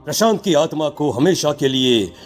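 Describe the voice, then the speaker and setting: A man's voice: fairly high pitch, big pitch swings, normal speed, soft background music. A man playing a stern, commanding tantric holy man in a dramatic cartoon horror story, solemn and accusing.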